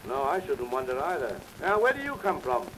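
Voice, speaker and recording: A man doing music-hall comedy patter as a comedian, asking questions in a brisk, jovial way. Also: mid-range voice, very animated, fairly bright tone, slight background noise. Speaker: comedian and singer.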